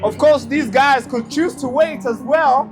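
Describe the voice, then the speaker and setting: High-pitched man speaking at a rally. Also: a little slow. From Tanzania. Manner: emphatic and repetitive, addressing a crowd. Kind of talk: outdoor rally speech. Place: outdoor rally. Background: music.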